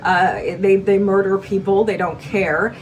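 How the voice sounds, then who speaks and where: A woman's voice: mid-range voice, level tone, unhurried, recorded from a distance, slight background noise, rough recording. A woman in her thirties, born in Iran, speaking earnestly and with strong feeling as a podcast guest in a studio. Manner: earnest, emotional, gestures as she talks. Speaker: TV news anchor, guest. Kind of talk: candid podcast conversation. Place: podcast studio with decorated shelves. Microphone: large studio mic on boom.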